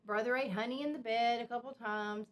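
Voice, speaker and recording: A woman reading a children's storybook aloud like a teacher, expressive and emphatic, with simple explanatory asides. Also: fairly high pitch, brisk, a little hiss or hum.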